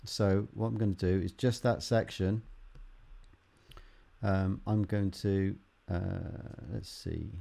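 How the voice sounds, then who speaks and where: A man teaching music production in an unscripted tutorial, haltingly thinking aloud as he works through each step. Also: sits low, fairly even intonation, creaky, dark tone. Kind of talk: unscripted software tutorial, explaining while demonstrating.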